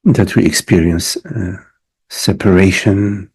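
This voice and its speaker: A man leading a guided meditation as a teacher, speaking reflectively in short phrases with long pauses between them. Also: deep voice, breathy edge.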